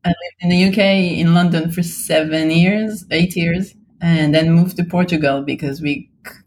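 A woman from Israel, a guest artist answering interview questions in a thoughtful, hesitant way, with many pauses and ums. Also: lowish voice, fairly even intonation, darkish tone, slight background noise, low-quality recording.

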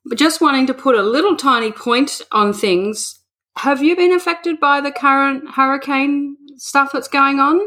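A woman's voice: high-pitched, normal speed, a bit bright. A woman from Australia, interviewing a guest in a chatty, rambling way. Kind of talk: casual interview.

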